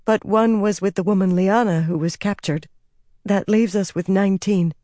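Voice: medium pitch, steady tone, normal speed, warm tone, dry recording, clean studio sound, voice pushed up, natural voice. An audiobook narrator voicing an anxious, servile woman, meek and hesitant as she tries to placate her master. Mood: hesitant, compliant. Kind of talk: audiobook character voice.

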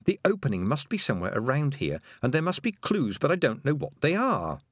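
A man's voice: medium pitch, huge pitch swings, a little fast, no room sound, studio quality, voice pushed up. A man voicing a young apprentice witch, an earnest and unsure girl, in a plaintive way for an audiobook. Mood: anxious.

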